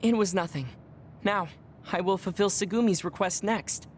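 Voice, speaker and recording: A woman's voice: medium pitch, moderate pace, background noise, close to an everyday voice. A woman playing a polite, formal, dutiful young teammate in an anime game, courteous. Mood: polite, composed. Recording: music clearly behind the voice.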